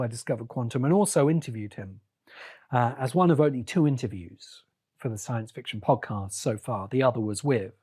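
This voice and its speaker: A man with a mid-range voice speaks as the host of a solo podcast. He delivers opinionated commentary deliberately, breaking his sentences into short phrases and leaning on key words for emphasis. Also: medium pitch, darkish tone, slightly rough.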